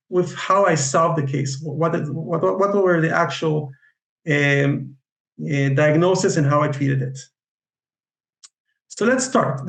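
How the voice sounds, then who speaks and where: A man with an Israeli accent giving an online lecture on Chinese medicine, speaking haltingly with many fillers and restarts. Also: on the high side, roomy sound. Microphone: video call.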